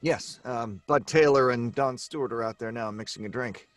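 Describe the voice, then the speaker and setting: A man's voice: mid-range voice, normal speed, no room sound, soft background music, lightly acted. Man acting a hesitant, weary radio-mystery novelist, close and dry. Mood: hesitant, explaining. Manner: hesitant, stammering, self-deprecating. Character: nervous, weary, eccentric male mystery novelist.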